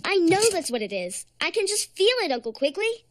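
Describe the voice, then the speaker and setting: A woman voicing an excitable, headstrong young girl in a cartoon, dramatic and insistent. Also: very high-pitched, fairly quick, noisy background. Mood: determined. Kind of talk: animated series acting.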